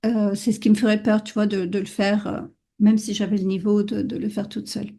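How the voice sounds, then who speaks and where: A French woman hosting a podcast interview, speaking in a casual, thoughtful way with frequent pauses and fillers. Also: mid-range voice, little pitch movement, vocal fry, dark tone. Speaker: podcast host.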